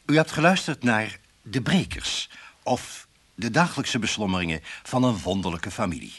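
Casting: male radio announcer, lowish husky voice, formal and measured, for end credits. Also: animated, fairly bright tone.

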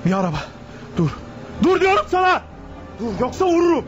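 Very high-pitched desperate outlaw. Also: male voice, sing-song, brisk, highs cut off, soft background music. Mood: pained, triumphant. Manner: barking threats and orders. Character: desperate, threatening wounded male outlaw on the run. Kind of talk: Turkish radio theatre acting.

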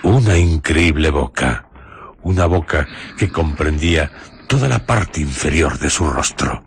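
A man narrating a radio drama in a measured, suspenseful storytelling manner. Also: very deep voice, expressive, slight background noise.

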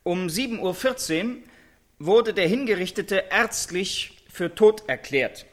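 An actor playing a prison warden who gives a formal, measured press statement in a radio drama. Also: male voice, sits high, lively intonation, a bit bright, exaggerated voice. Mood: solemn, official. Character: American prison warden giving a formal execution briefing.

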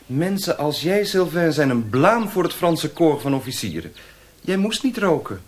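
An actor playing a flamboyant dandy in a radio play, affected and mocking in manner. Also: male voice, on the high side, very animated, moderate pace. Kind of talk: radio drama acting.

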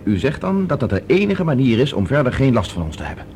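A man's voice: medium pitch, very animated, fast, a little mellow, slight background noise. A man playing a decisive professor in a science fiction radio drama, speaking with urgent, analytical authority. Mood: decisive, insistent. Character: decisive male professor plotting as captive space traveller.

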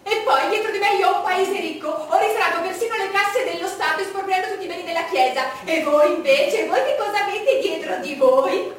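An actress playing an imperious, vain, solitary English queen in a declamatory stage monologue with dramatic pauses. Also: very high-pitched, far from the mic. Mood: grandiose, haughty. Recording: noisy, echoey stage recording from afar.

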